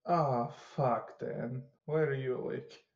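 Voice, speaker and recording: A man's voice: mid-range voice, creaky. A man playing a tabletop game, blunt and swearing often. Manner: slow, blunt, swears a lot. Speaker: player. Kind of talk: casual game chat. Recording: dull lo-fi mic.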